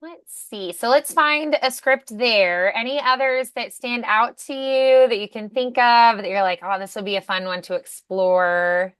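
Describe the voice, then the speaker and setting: A woman's voice: a little high, steady tone, unhurried, hardly any pauses, fairly bright tone, clear, resonant tone, no room sound. A woman coaching a student in a casual one-on-one conversation, with an encouraging, affirming manner. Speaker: voice acting coach.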